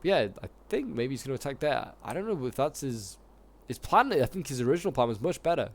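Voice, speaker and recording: A man with a sore throat, slightly hoarse, giving casual, rambling commentary on a strategy game battle with lots of fillers. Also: medium pitch, very animated, fast. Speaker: gaming YouTuber casting a multiplayer battle.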